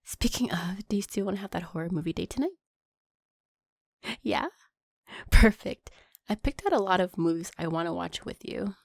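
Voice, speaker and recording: A woman playing an affectionate, anxious vampire girlfriend in an intimate roleplay audio drama, talking in a soft, chatty way. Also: lowish voice, animated, normal speed, creaky, long pauses, no echo, clean studio sound. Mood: sweet, slightly uneasy. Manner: soft, chatty, sighing and giggling, breaking into whispers.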